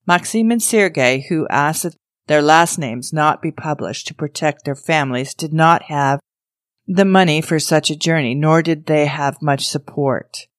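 Woman, low pitch, dry close recording, scripted podcast narration. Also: a little slow, no room sound. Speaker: podcast host. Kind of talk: scripted narration, reading a news story aloud.

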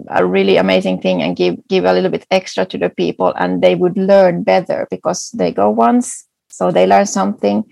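A woman whose first language is Swedish talks eagerly in a relaxed interview, her voice carrying a gravelly edge. She restarts her sentences often. The sound is close and dry, with almost no room around it. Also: medium pitch, no echo. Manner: enthusiastic, many restarts and fillers. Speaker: foraging guide and entrepreneur. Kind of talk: casual interview answers.

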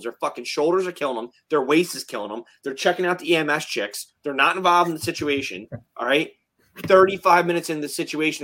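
A man's voice: mid-range voice, a bit bright. A man in his late thirties, a firefighter hosting a casual chat, talks bluntly and heatedly and swears often. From New Jersey. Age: 30s. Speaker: firefighter podcast host.

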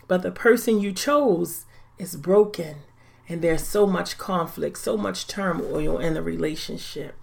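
A woman in her forties hosting a faith-based podcast, talking in an earnest, deliberate, reflective way. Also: lowish voice, gravelly. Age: 40s. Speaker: podcast host.

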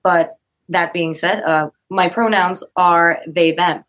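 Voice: mid-range voice, steady tone, slow, a bit bright. A music director speaking earnestly and with feeling as an interview guest about a theater production. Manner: earnest, heartfelt.

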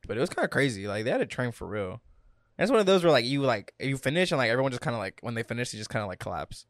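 A young man chats casually on his home podcast, loose and slangy, with a middle-pitched voice. He sits close to a desk mic in a small room, and the sound is dry with no echo. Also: rapid-fire. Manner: laid-back slangy talk, drifts louder when excited. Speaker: podcast co-host. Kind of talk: casual chat.

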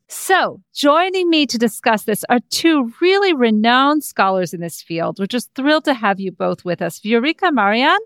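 A woman's voice: mid-range voice, clean tone, dry recording, studio quality. A middle-aged woman hosting an interview podcast, reading a scripted introduction and then asking questions with enthusiasm. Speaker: podcast host.

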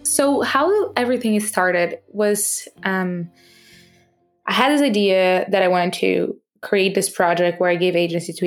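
A young adult woman with a non-native accent, a podcast host, speaking earnestly and passionately about her project, with frequent fillers and restarts. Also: on the low side. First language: not English.

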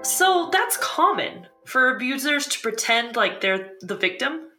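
A woman playing a bubbly, high-voiced show host. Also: very animated, over loud music. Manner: inquisitive, bubbly, quick questions. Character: curious, playful young woman show host. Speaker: podcast host. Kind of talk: scripted podcast drama dialogue.

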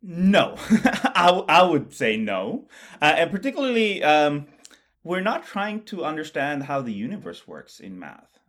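A man from Bangladesh, co-hosting a casual podcast chat about math in a musing way that often trails off quietly. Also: a little high, very animated, unhurried. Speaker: podcast co-host, mathematician.

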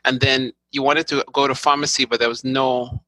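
A man from Nigeria hosting a podcast interview, curious and quick to react. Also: mid-range voice, flat delivery, pushed and bright, dry recording, low-quality recording. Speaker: podcast host.

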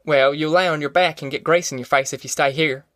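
A man acts out a practical young country man, direct and persuasive. He has a rural Southern American accent and a fairly high voice. The audiobook sound is dry. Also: steady tone, fairly quick, a bit bright, no room sound, natural voice. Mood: persuading. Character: plain-spoken young farm man, practical grown son. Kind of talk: audiobook character acting.

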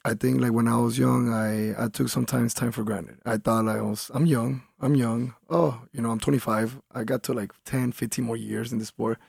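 A man's voice: medium pitch, steady tone, normal speed, gravelly, few pauses, darkish tone, slightly rough, no echo, clean studio sound. A man in his thirties from Colombia, a fighter talking in a laid-back, rambling way in an interview. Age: 30s. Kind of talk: casual interview talk.

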